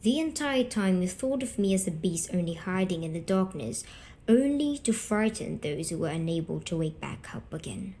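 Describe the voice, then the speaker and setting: A woman voicing a gentle, wounded female sleep paralysis demon in an ASMR roleplay, coaxing softly and deliberately with breathy half-whispers. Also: on the low side, animated, slight background noise. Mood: hurt, reproachful. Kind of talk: slow ASMR storytelling roleplay.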